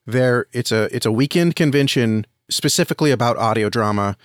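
A man's voice: mid-range voice, brisk, no echo, clean studio sound. A man speaking as an interview guest, giving earnest, explanatory advice in a conversational way. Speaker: podcast guest, audio drama creator and reviewer.

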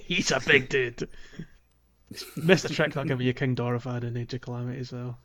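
A man's voice: mid-range voice, flat delivery, moderate pace, a bit bright. A man co-hosting a podcast, telling things in a hesitant, informal way as he talks through a video game. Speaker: podcast co-host. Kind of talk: casual video game playthrough chat.

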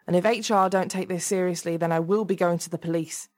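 A woman's voice: fairly low pitch, steady tone, quick pace, darkish tone, close to an everyday voice. A woman voicing an irritable, paranoid man in an audiobook, an office boss with a demanding, emphatic way of talking. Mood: indignant.

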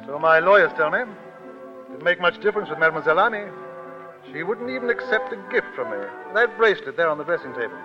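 An actor playing a suave, courtly, very wealthy suitor in a 1930s radio drama, with polished, formal, gallant speech. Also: male voice, on the high side, lightly acted. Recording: vintage 1930s radio, dull, hall reverb.

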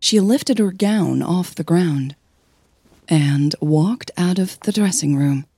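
A woman narrating scripted audio fiction in a measured storytelling manner, phrase by phrase. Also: fairly low pitch, warm tone, breathy edge, no echo. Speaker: podcast host and narrator.

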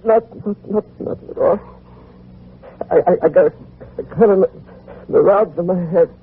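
An actor playing an ailing, proud gang boss who stammers and repeats himself in an old-time radio crime drama. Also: male voice, high voice, moderate pace, slightly rough. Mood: rattled, faltering. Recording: noisy, tinny old radio recording.

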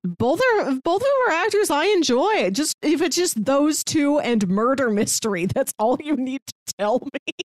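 A woman's voice: sits high, expressive, moderate pace. A woman co-hosting a podcast, talking in a casual, conversational way about what she watches and reads. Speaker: podcast co-host. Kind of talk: casual review podcast chat.

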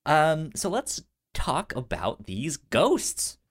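A man's voice: on the high side, huge pitch swings, takes it slowly. A man co-hosting a casual film discussion, stuttering often as he repeats sounds and syllables. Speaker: podcast co-host.

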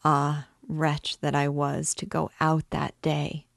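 A woman's voice: on the low side, little pitch movement, very slow, gravelly, no room sound, studio quality, exaggerated voice. A woman voicing a grieving recluse mother who wails and pleads for her lost child. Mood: mournful. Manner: wailing, pleading lament.

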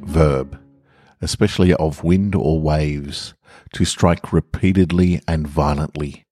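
A man with an extremely low voice narrates short fiction as a podcast host. He moves between casual introductions and scripted prose. The sound is close, with very little room. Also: fairly even intonation, slow, dark tone, dry recording, soft background music. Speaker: podcast host and story reader.

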